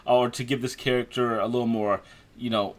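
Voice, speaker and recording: A man's voice: medium pitch, flat delivery, a little fast. Male game reviewer, mid-range voice, delivering opinionated review commentary in a conversational way with stressed key words.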